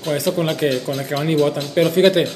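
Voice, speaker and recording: A man's voice: fairly high pitch, steady tone, fast talker, darkish tone. Hesitant man in an echoey room. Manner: hesitant, searching for words, joking. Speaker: co-host. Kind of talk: casual panel discussion. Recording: echoey room with music behind.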